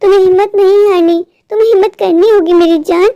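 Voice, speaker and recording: A loving mother's spirit, voiced by a woman, high-pitched and tender. She comforts and encourages in a cartoon melodrama, in a dry recording. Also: fairly even intonation, quick pace, dark tone, dry recording, muffled recording. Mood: tender, consoling. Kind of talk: melodramatic Hindi cartoon moral story.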